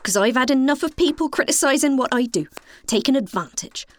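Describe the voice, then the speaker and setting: Huffy cook with lively intonation. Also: female voice, sits high, fairly quick. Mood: huffy, defensive. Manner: defensive, colloquial, matter-of-fact. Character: huffy, stubborn, thrifty family cook. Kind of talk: comedy audio drama acting.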